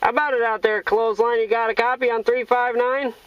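A man's voice: very high voice, flat delivery, fairly quick. A man chatting in CB radio slang, calling out repetitively from inside a vehicle. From Iowa, United States. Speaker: CB radio operator. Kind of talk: casual CB radio chat in radio slang. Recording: noisy, muffled in-car CB radio recording.

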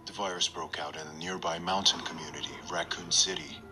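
A man's voice: sits low, steady tone, fairly quick, music in the background, lightly acted. A man playing a tough, determined special operative in a video game, terse and commanding. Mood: low, controlled.

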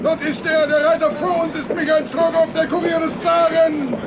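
An actor playing a fierce, excited Tatar pursuer shouting commands in a German radio play. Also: male voice, very high-pitched, normal speed, loud music behind the voice, a loud crowd. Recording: distant voice under loud outdoor effects.

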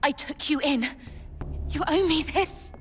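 A woman's voice: sits high, measured pace, long reverb tail, slight background noise. An actress voicing an anguished, headstrong, fiercely protective woman in a zombie game, imperious and emotionally intense. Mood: commanding. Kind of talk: emotional video game acting.

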